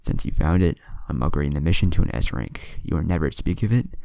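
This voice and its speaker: A man's voice: rumbling low, lively intonation, fast, vocal fry, dark tone, no room sound, exaggerated voice. A man doing a weary, stern old village leader. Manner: stern, sighing and grumbling.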